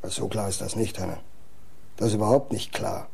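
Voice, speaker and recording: An actor playing a plain-spoken, resigned truck driver grumbling colloquially in a radio play, with a gravelly voice. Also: male voice, fairly low pitch, fairly even intonation, normal speed, a little mellow, full character voice. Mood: resigned, skeptical. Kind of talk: German radio drama acting.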